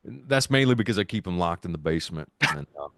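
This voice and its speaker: A man's voice: sits low, animated, quick pace, no echo. A middle-aged man chatting casually as an interview guest, laid-back and joking. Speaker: guest, band vocalist. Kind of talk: casual chat. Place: indoor room on a video call. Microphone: boom-arm microphone.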